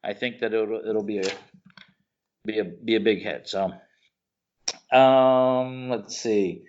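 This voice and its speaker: A man co-hosting a podcast, chatting casually and enthusiastically, halting and searching for words as he rambles. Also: lowish voice, fairly even intonation, a little mellow. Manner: informal, enthusiastic. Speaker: podcast co-host. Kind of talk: casual chat about films and TV shows. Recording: slightly dull, lo-fi podcast audio.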